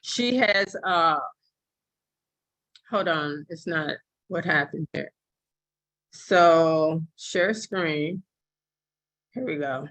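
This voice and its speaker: A woman's voice: low-pitched, drawn-out words, pauses often, a bit bright, low-quality recording. A woman on a video call giving an emphatic motivational talk to her team and introducing a video. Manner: emphatic, repetitive.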